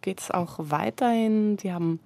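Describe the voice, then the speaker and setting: Creaky-voiced woman interviewer, close and dry. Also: medium pitch, unhurried, darkish tone, no room sound. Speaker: interviewer and host. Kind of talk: conversational radio culture interview.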